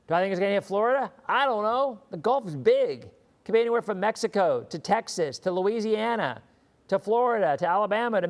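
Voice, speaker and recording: Hoarse male weather host, slightly high voice, loose and repetitive live-stream chatter. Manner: chatty, often repeats words while thinking. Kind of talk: live-stream chat answering viewer questions.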